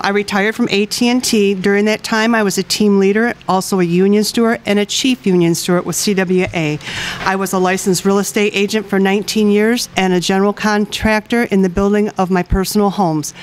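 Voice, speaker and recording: An American woman in her fifties speaks as a candidate at a public forum. Her pitch is middling, and she delivers a prepared introduction. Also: slight background noise. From Michigan, United States. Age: 50s. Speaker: city council candidate.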